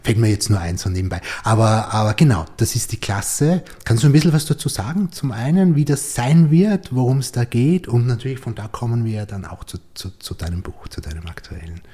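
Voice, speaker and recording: A man's voice: medium pitch, animated, fairly quick, few pauses, dark tone. A man hosting a podcast interview, thinking aloud in a reflective way and often ending his points with a questioning tag. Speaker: podcast host.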